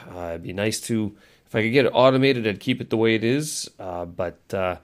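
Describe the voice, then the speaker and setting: A man's voice: on the low side, fairly quick. Congested man rambling on his audio blog. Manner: rambling, self-correcting, many fillers. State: congested, nasal from a cold. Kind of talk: casual solo audio blog.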